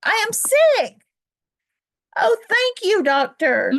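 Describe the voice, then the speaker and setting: Woman acting a melodramatic, anxious storybook elephant, swooping high. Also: very animated, creaky, fairly bright tone, full character voice. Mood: excited relief. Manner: melodramatic, halting, exclaiming. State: sneezing, acted. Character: anxious, dramatic male elephant, a devoted friend. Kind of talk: children's picture-book read-aloud.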